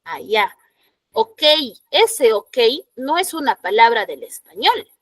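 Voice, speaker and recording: A woman's voice: on the high side, sing-song, slow pace, dry recording. A woman teacher with a Peruvian Spanish accent gives a classroom lecture. She explains patiently and keeps checking in with her students through short tag questions.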